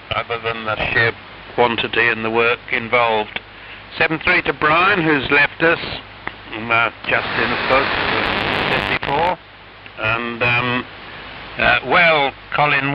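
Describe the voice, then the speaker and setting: A man chatting casually as an amateur radio operator over shortwave, rambling and hesitant in an amiable way. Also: mid-range voice. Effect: shortwave radio transmission. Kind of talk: casual ham radio chat. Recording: noisy, hissy, muffled shortwave radio signal. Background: radio hiss and static.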